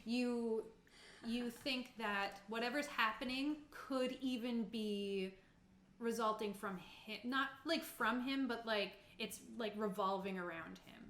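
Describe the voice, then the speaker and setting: A woman narrating as game master in a casual, improvised, halting way. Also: a little high, a crowd in the background. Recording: roomy, echoey sound.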